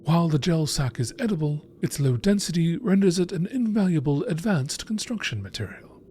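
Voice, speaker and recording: A man reading scripted nature documentary narration in a measured, expository manner. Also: fairly high pitch, lively intonation, dark tone. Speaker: narrator reading game text.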